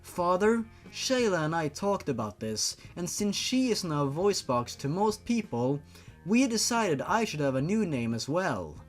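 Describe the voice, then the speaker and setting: A man's voice: on the high side, big pitch swings, unhurried, faint music behind. A man voicing affectionate young sisters in a story, with a sweet and theatrical manner. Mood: tender, happy. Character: affectionate young sisters reunited after resurrection.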